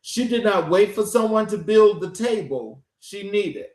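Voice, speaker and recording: A man hosting an interview show, speaking in deliberate, oratorical phrases. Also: high voice, a little mellow. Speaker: show host. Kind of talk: scripted introduction and interview hosting. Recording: roomy, echoing sound.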